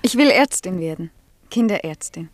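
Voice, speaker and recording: An actress playing a cool, self-assured young student in a German radio play. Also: female voice, on the low side, lively intonation, moderate pace, dry recording, lightly acted. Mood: determined.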